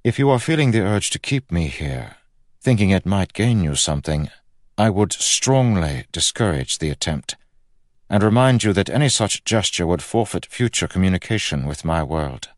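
A man voicing a guarded, courteous young magician envoy in an audiobook, speaking in a measured, restrained and formal way. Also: very deep voice, lively intonation, dry recording, studio quality. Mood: firm, polite. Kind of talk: audiobook character acting.

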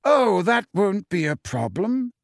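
A man voicing a calm, courteous old male wizard headmaster who speaks pleasantly but firmly. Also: high voice, a little slow, creaky, no echo, clean studio sound, pitched above the performer's own voice, exaggerated voice.

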